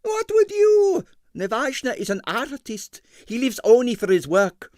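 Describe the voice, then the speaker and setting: A man's voice: very high-pitched, sing-song, measured pace, darkish tone, dry recording, clean studio sound, pitched above the performer's own voice, heavily acted. A man voicing a sentimental, money-minded ballet impresario in a storybook, effusive and theatrical, with a thick foreign accent and broken English. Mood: dramatic, earnest. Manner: theatrical, effusive, French interjections.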